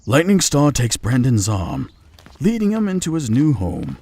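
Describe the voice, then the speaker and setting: A man's voice: medium pitch, wildly swooping pitch, measured pace, a little mellow, slightly rough. A man reading the narration of a visual novel. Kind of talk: storybook narration.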